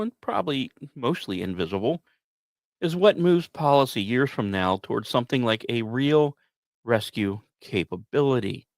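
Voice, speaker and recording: A man hosting a podcast, delivering scripted storytelling narration with dramatic emphasis and deliberate pauses. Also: medium pitch, no echo. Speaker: podcast host.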